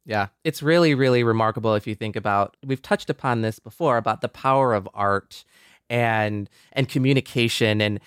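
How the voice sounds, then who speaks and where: A man's voice: mid-range voice, moderate pace, a bit bright, clear, resonant tone, no room sound, studio quality. A man co-hosting a podcast, talking in an earnest, conversational way. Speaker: podcast co-host.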